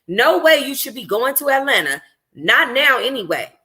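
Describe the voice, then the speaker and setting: Lady reader doing a blunt gossipy girl bestie from a novel, pitched up, animated and slangy. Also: moderate pace, heavily acted. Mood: concerned, blunt. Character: outspoken young woman, blunt gossiping best friend.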